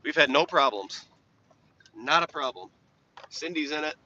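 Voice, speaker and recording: A young man with a slightly raspy voice, chatting casually on a live stream while plowing snow and answering viewers' questions. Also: a little high, lively intonation, measured pace, sharp tone. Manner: laid-back, rambling, reads chat aloud. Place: inside a truck while plowing. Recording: dull lo-fi stream audio with faint engine noise.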